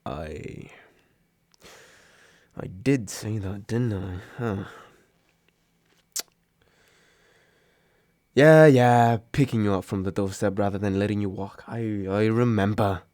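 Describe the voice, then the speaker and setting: A man's voice: lowish voice, measured pace, a little mellow, dry recording, clean studio sound, lightly acted. A young man acting a grumpy, sarcastic but secretly caring roommate woken from sleep, grumbling and sighing in an intimate roleplay audio drama. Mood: grudgingly giving in. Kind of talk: intimate roleplay audio drama, one side of a phone call.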